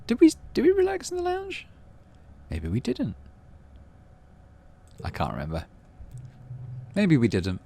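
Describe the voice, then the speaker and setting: A man's voice: high voice, wildly swooping pitch, measured pace, gravelly, long pauses, dark tone. A man playing through a video game, reading the story aloud and musing to himself as he decides. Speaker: gaming video host. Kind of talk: game playthrough commentary and read-aloud narration. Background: game music.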